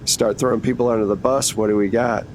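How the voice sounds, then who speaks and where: A man's voice: fairly even intonation, quick pace. A man casually narrating a tabletop roleplaying game as game master. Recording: noticeable low rumbling background noise.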